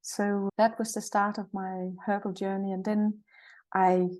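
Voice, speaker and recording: A woman in her sixties with a Danish accent, speaking as an interview guest. Her voice is mellow and soft-edged. She talks in a friendly, cheerful way, thinking aloud as she goes. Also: medium pitch, level tone, unhurried, rough recording. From Jutland, Denmark. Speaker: guest, naturopath and herbalist. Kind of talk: conversational interview.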